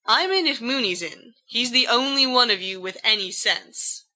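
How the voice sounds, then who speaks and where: A woman's voice: fairly high pitch, lively intonation, moderate pace, bright, forceful tone. A woman voicing a confident, jovial teenage schoolboy ringleader with hearty schoolboy slang in an audio story. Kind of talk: amateur audio fan fiction reading.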